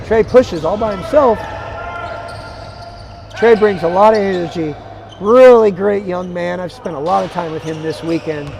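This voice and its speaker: Hoarse, high-voiced male basketball commentator. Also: slight background noise. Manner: energetic, chatty, rising to excited calls. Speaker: play-by-play commentator. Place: arena broadcast position.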